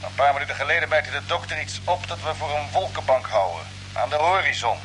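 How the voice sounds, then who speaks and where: Male space captain, high voice, brisk and commanding, old radio serial with clear background noise. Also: expressive, fairly bright tone. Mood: businesslike. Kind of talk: vintage Dutch radio drama acting. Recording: noisy old radio play recording.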